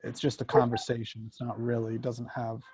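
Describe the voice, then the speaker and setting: A man speaking as a screenwriter in casual discussion, halting and often repeating words. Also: mid-range voice, completely flat delivery, darkish tone, slightly rough, dry recording. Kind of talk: casual discussion of own work.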